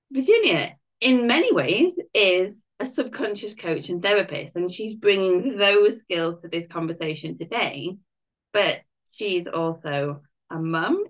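A woman hosts a podcast interview in a chatty, upbeat style, often dropping in casual fillers. Her voice sits in an ordinary middle pitch. The audio sounds muffled and a bit lo-fi. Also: a bit bright. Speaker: podcast host, life coach.

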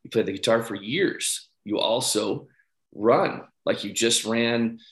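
A man hosting a podcast interview in a conversational coaching style, putting his questions slowly and haltingly with repeated words. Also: medium pitch, fairly bright tone. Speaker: podcast host. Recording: roomy, echoing sound.

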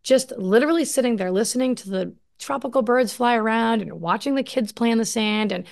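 A woman hosting a casual video-call conversation, chatty and enthusiastic, quick to gush in agreement. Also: fairly high pitch, creaky. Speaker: podcast host. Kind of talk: casual chat.

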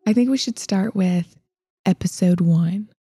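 A young woman with a light Southern accent hosting a casual podcast, upbeat and quick to laugh. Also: mid-range voice, mellow, slightly rough, dry recording. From Illinois. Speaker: podcast host.

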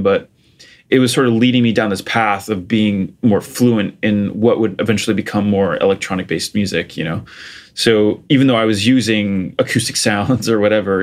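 A man in his thirties from Portugal with an American accent, a guest chatting casually about his start in music, with many "like" and "you know" fillers. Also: fairly low pitch. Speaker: interview guest, music producer. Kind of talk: casual conversational storytelling.

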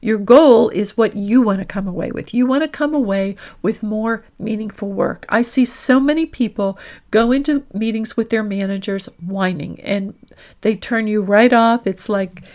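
A woman career coach and host delivering an instructional monologue, laying out her points deliberately and with emphasis. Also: medium pitch, a little mellow.

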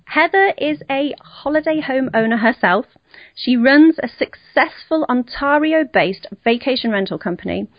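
A woman's voice: high voice, lively intonation, moderate pace, no echo. Woman podcast host, muffled dry sound. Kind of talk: podcast hosting and interviewing.

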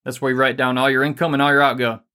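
A man co-hosting a casual faith-based money and marriage talk, energetic and rambling with many fillers. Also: medium pitch, racing.

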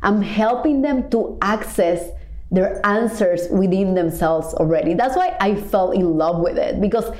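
A woman's voice: mid-range voice, darkish tone. Casting: Cuban-born woman life coach, earnest motivational podcast talk, mid-range voice, roomy echo. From Cuba. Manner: earnest, stretches key words, builds in repeated phrases. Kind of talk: reflective motivational monologue.

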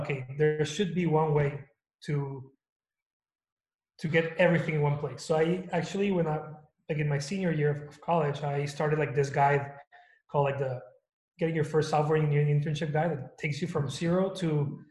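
A man in his twenties from Mexico, a guest telling his story in a halting, casual way with many restarts. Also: a little high, darkish tone. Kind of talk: casual interview chat. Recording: echoey room.

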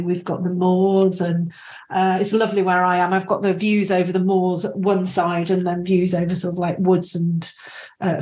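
A woman's voice: on the low side, darkish tone. A woman from Yorkshire with a Yorkshire accent, hosting an interview and chatting loosely, laughing often and restarting her sentences. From Yorkshire, England. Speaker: podcast host. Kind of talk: casual interview chat.

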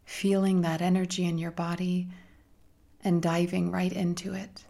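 A woman leading a guided meditation in a soft, gentle, soothing manner with long pauses and breathy phrase endings. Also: on the low side, little pitch movement.

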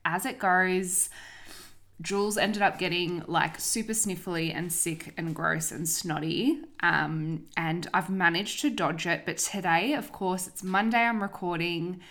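A young woman with a fairly low voice talks through her wellness podcast alone. She sounds a little sniffly from an oncoming cold. Her chat is upbeat and loose, with casual swearing. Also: fairly even intonation, measured pace, a bit bright. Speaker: podcast host, holistic coach. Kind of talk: casual solo podcast monologue.

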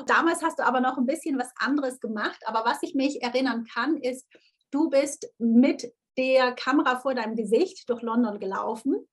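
A woman in her thirties hosting a podcast interview, lively and enthusiastic, often slipping in English phrases. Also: high-pitched, normal speed, breathy edge. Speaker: podcast host.

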